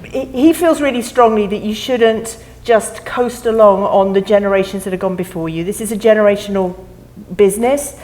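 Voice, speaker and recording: A middle-aged woman with a foreign, non-American accent speaks on a theater stage panel. She talks in a chatty, rambling way and laughs at herself often. Her pitch sits in the middle. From not from the US. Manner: chatty, laughing, self-deprecating. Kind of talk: panel discussion.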